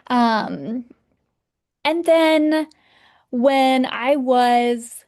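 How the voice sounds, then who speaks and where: A woman in her thirties from Texas, a podcast guest telling her story in a relaxed, rambling way. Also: sits high, extremely slow. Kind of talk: casual interview.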